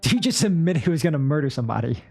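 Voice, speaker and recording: A man's voice: a little high, sing-song, very fast, vocal fry, darkish tone, faint music behind. A man live streaming a game, giving casual, offhand reaction commentary.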